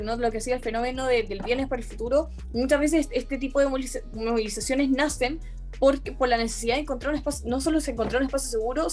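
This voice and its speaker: Earnest young Chilean woman. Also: high-pitched. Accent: Chilean Spanish. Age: young adult. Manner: earnest, many restarts and self-corrections. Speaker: youth activist guest. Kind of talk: conversational interview.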